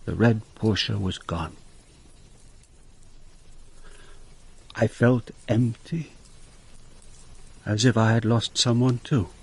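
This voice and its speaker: An actor playing a blunt, world-weary police inspector in a radio drama, terse and commanding, both in dialogue and first-person narration. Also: male voice, on the low side, slow pace, creaky, breathy edge, full character voice. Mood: sombre, reflective. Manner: terse, commanding, hard-boiled.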